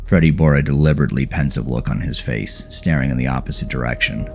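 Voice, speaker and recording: Very deep, gravelly male storyteller. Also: quick pace, soft background music. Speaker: audiobook narrator. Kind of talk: scripted horror story narration.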